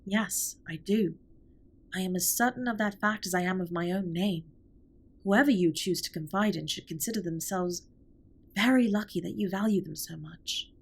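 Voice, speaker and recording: A woman playing a formal, caring alien spaceship captain in an intimate audio drama, speaking in a measured, precise way with a breathy, husky voice. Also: lowish voice. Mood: firm, reassuring. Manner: formal, measured, precise wording with few contractions. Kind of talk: scripted roleplay audio drama, intimate comfort monologue.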